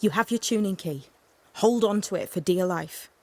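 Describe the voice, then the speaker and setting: An actress playing a hardened, wary outcast guide in a video game, terse, firm and no-nonsense. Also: female voice, medium pitch, normal speed, lightly acted. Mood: stern warning. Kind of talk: dramatic video game acting.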